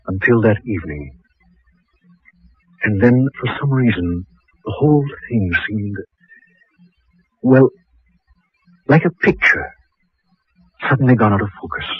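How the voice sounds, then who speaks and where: An actor playing a weary, grieving husband who narrates a radio horror drama in a somber, hesitant, dramatic way. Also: male voice, on the low side, lively intonation, a little slow, long pauses, slightly rough, no echo, heavily acted. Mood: uneasy, reflective. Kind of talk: old-time radio horror drama, first-person narration and dialogue. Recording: dull lo-fi radio recording, music behind.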